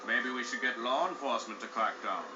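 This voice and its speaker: A man's voice: medium pitch, fairly quick, background noise, lightly acted. A man playing a mocking, menacing underworld thug in a video game, drawling his veiled threats with sarcasm. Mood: sly sarcasm. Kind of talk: video game villain acting. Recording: dull, roomy game audio under loud music.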